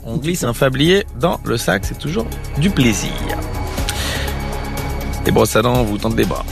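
Male radio quiz host, animated and teasing, over a music bed. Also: medium pitch, unhurried, gravelly. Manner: teasing, lively, joking with the contestant. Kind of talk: playful quiz hosting.

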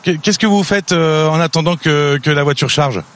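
Halting male field reporter, higher voice. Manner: halting, repeats words. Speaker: roving radio reporter. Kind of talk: informal live field reporting.

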